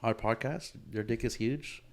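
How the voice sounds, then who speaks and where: Man, podcast co-host, casual chat, rambling, crude jokes, "dude" and "like" fillers, mid pitch. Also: normal speed. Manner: rambling, laughing, crude joking; heavy use of "dude" and "like" fillers.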